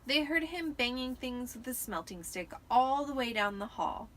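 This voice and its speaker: A woman's voice: high-pitched, expressive, normal speed. A tipsy woman giving a dramatic amateur audiobook narration. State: tipsy from wine.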